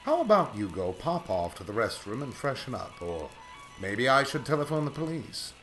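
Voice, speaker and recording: An actor playing a smooth, sinister, cursed aviator and jilted lover in an old-time radio drama, speaking in a suave, theatrical way. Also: male voice, medium pitch, sing-song, normal speed, a bit bright, faint music behind. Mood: mocking.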